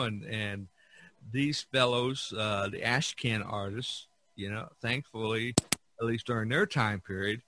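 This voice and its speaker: A man hosting a podcast in casual chat, speaking haltingly and repeating words as he searches for phrasing. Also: mid-range voice, pushed and bright, no room sound. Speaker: podcast host.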